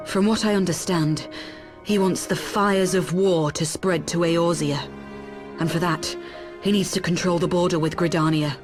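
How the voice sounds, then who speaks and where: An actress playing a wounded, dogged resistance fighter in a video game, frank and earnest. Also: female voice, lowish voice, fairly even intonation, moderate pace, natural voice. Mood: grave. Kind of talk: video game cutscene acting. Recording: faint game music behind the voice.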